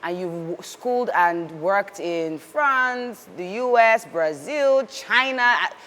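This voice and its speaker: A woman hosting an interview, asking questions in an animated way, with restarts and encouragement. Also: mid-range voice, takes it slowly, long reverb tail. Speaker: podcast host, interviewer.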